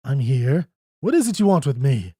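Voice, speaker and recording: Reader voicing a gruff half-asleep old doctor, curt and cross, dry close mic. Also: medium pitch, sing-song, moderate pace, warm tone, dry recording. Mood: irritated. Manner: gruff, grumbling. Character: grumpy sleepy old male doctor.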